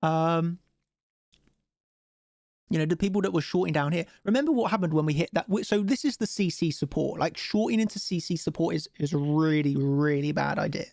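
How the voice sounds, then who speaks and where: A man's voice: on the high side, brisk, vocal fry, a little mellow, no echo, clean studio sound. A man with a cold and a stuffy nose, chatting casually while hosting a live trading stream and asking viewers for likes. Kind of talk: live-stream chart commentary.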